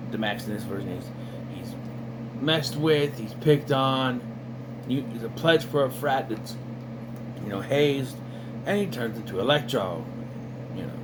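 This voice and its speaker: A man in casual commentary, reviewing an animated series in a rambling, sarcastic way with lots of fillers. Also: mid-range voice, background noise. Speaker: video reviewer.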